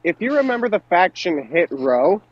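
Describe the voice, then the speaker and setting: A man's voice: high voice, sing-song, normal speed, highs cut off. A man co-hosting a podcast, speaking casually and informally as he discusses wrestling. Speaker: podcast co-host.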